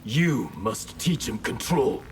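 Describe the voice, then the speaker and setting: Stern, honorable ninja master. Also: male voice, medium pitch, big pitch swings, a little hiss or hum. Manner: measured, commanding. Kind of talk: video game character dialogue.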